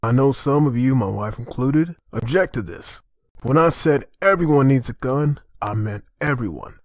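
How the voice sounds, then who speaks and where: A man's voice: medium pitch, expressive, moderate pace, no echo, studio quality, natural voice. A man playing an earnest, protective father who leads survivors in an amateur comic dub. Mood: firm, announcing.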